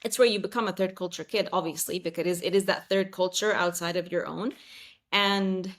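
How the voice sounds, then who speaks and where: A woman's voice: on the low side, fairly even intonation, a little fast. A woman in her thirties, raised in Saudi Arabia with Arabic as her first language, talking casually as a podcast guest, with many fillers, restarts and easy laughs. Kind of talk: casual interview chat.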